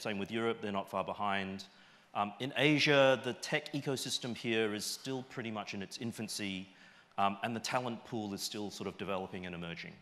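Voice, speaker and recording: A man's voice: lowish voice, a bit bright. A middle-aged man with an Australian accent giving an informal conference talk in a conversational way, often checking in with short tag questions. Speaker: conference speaker. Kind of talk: informal stage presentation. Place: large auditorium stage, indoors.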